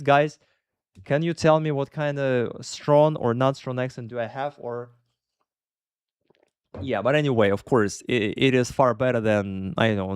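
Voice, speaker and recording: A man's voice: medium pitch, clear, resonant tone, dry recording, studio quality. A young man with a Russian accent, chatting casually as an English teacher answering viewers' questions live, often correcting himself and laughing between points.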